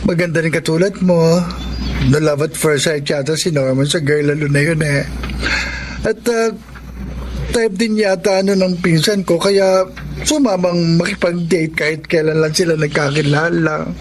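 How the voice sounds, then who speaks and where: A male actor as a loyal young Filipino confidant in a radio drama. His voice is a bit high and earnest, reasoning and concerned, over noticeable background noise. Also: fairly even intonation, moderate pace, lightly acted. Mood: probing. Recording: noisy background ambience.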